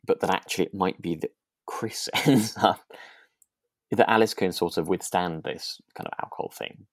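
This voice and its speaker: A woman co-hosting a relaxed podcast chat, speaking in a tentative, conversational way. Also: rumbling low, moderate pace, no echo. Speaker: podcast co-host. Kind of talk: relaxed chat discussing a radio drama.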